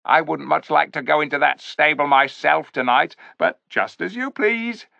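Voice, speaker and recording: A man's voice: a little high, expressive, normal speed, gravelly, bright, forceful tone, no room sound, voice pushed up, exaggerated voice. A man voicing a sly, bossy male ape who mocks and jeers at the crowd in a storybook reading. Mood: jeering. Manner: mocking, jeering, cackling. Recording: dull lo-fi recording.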